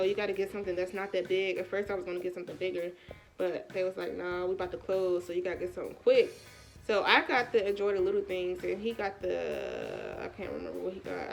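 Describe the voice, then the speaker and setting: Stuffy-nosed American woman telling a story over music. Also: medium pitch. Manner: colloquial, animated retelling with quoted dialogue. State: stuffy, congested with a cold. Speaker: vlogger. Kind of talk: casual storytime chat.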